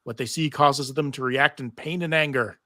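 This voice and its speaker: A man's voice: medium pitch, fairly even intonation, normal speed, sharp tone, no room sound, clean studio sound. A man reading TV script stage directions aloud at a live table read, theatrical with wry asides. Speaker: table read narrator and panel host. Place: live convention panel.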